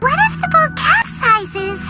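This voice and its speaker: A woman voicing a small, excitable female companion creature with a high, childlike voice, gasping and easily alarmed, over game music. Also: a little slow, heavily acted. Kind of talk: video game dialogue. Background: game background music.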